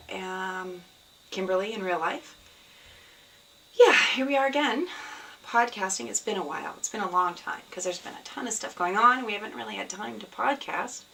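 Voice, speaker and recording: A woman with a scratchy, hoarse voice from a cold, hosting a casual video podcast at home, rambling with many ums. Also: medium pitch, lively intonation, moderate pace. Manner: rambling, many ums, soft and sad in places. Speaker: podcast host. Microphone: camera. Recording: somewhat distant mic, faint background noise.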